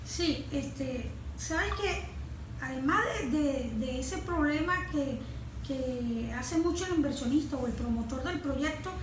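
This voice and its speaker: A woman with a Venezuelan accent explains things as an interview guest in a very echoey room. Her voice is high, and she talks slowly and haltingly, pausing often. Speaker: guest, environmental consultant. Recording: very echoey room, faint noise.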